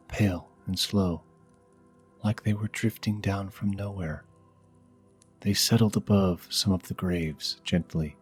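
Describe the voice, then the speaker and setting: A man voicing a grieving village woman, guilty and halting, in a soft ASMR fantasy roleplay. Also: on the low side, pitched above the performer's own voice.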